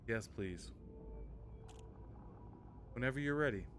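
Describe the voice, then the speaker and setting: Man giving brief commands, mid-pitched. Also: lively intonation, slow pace, fairly bright tone. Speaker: game streamer. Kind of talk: short spoken voice commands to a game assistant. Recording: faint background noise.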